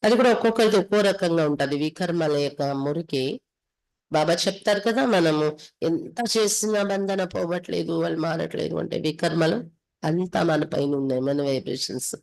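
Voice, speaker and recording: A woman's voice: fairly low pitch, brisk, vocal fry, darkish tone, rough recording. Telugu woman teaching a religious class. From India. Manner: instructive, alternates reading with explanation. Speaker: spiritual teacher reading a daily scripture. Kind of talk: religious class, reading aloud and explaining.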